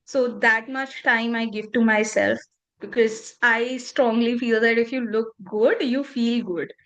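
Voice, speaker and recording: Female guest, ~30, native Punjabi speaker, candid reflective delivery, pitched a touch high. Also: steady tone, a little slow, a bit bright, highs cut off. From northern India. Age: 30s. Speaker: podcast guest. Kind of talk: casual interview conversation.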